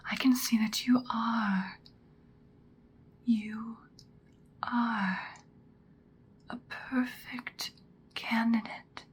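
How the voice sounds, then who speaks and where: An ancient vampire slayer played by a woman whispers in a breathy, seductive voice for an ASMR roleplay. She takes her time over every phrase, deliberate and stern with a threat underneath. Faint noise sits behind the close whispering. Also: fairly high pitch, darkish tone.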